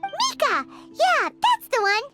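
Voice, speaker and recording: Game fairy sidekick: tiny, childlike, extremely high-pitched, swooping and excitable, over loud music. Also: female voice, takes it slowly, heavily acted. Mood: cheerful pride. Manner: speaks of herself in third person, exclamatory. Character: small excitable childlike female fairy companion, chirpy and proud. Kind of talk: video game voice acting.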